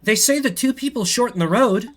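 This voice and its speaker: A man's voice: extremely high pitch, brisk, a bit bright, voice pushed up. A man voicing an earnest, eager young female mouse who pleads to tag along in a video game. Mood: pleading.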